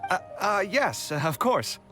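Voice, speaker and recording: Lively, earnest young man. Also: high-pitched, measured pace, over music. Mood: flustered. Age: young adult. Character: young male architect, earnest, flustered, dutiful son. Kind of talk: scripted video game dialogue.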